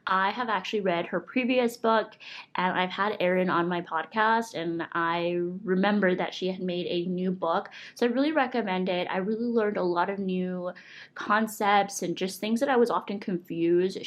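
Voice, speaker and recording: A young woman with a stuffy, congested voice talks casually to camera. Her voice sits a little low for a woman, and she chats along in an upbeat, thankful way, with plenty of fillers. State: congested from a cold. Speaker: vlogger reviewing monthly goals.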